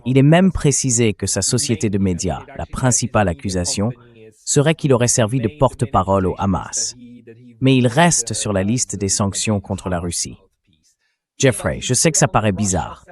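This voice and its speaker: A man's voice: on the low side, a little mellow. A man hosting an expert discussion in a translated voice-over, conversational and questioning. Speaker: debate host.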